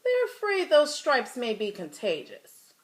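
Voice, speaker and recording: A woman acts out a storybook school principal, a man who is formal and firm while apologizing. The delivery is raised in pitch and very animated. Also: measured pace, full character voice. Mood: apologetic, firm.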